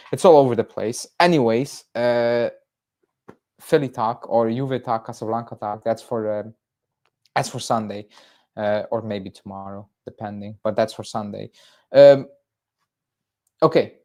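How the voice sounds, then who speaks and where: A man's voice: fairly low pitch, a little mellow. A young man from Belgium hosting a casual fan livestream, rambling through viewer chat with fillers and restarts. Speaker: football fan channel host.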